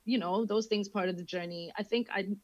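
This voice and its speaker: A woman's voice: mid-range voice, fairly even intonation, a little fast, a little mellow, dry recording, dull audio. A woman from England, a guest chatting casually on a podcast, telling stories in a chatty way and swearing freely. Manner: chatty storyteller who acts out quoted voices, swears freely.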